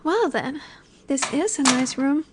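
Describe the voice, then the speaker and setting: A woman acting a high-pitched, teasing alpha she-wolf. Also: expressive, background noise. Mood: intrigued, seductive. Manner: teasing, sultry, unhurried with drawn-out pauses. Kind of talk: roleplay audio acting addressed to the listener. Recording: bar chatter in the background.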